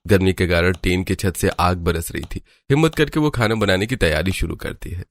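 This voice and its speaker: A man's voice: low-pitched, fairly quick. A man narrating a scripted cartoon story. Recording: music behind the voice. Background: background music.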